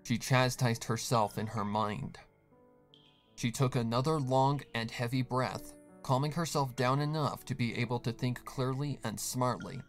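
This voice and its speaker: Male horror story narrator over music. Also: medium pitch.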